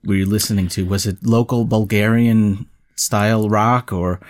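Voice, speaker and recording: Man, fairly low voice, interviewer, conversational questions and reflections. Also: fairly even intonation, normal speed. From the West.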